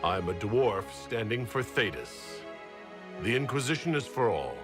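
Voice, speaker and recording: An actor playing a frank, steadfast dwarf hero in a video game, plain-spoken and earnest. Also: male voice, lowish voice, big pitch swings, normal speed, music in the background. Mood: resolute. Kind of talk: dramatic video game acting.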